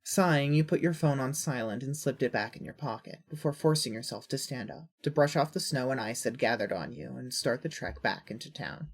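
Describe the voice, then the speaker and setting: A woman with a husky voice reading scripted fiction as a narrator. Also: very deep voice, brisk, few pauses.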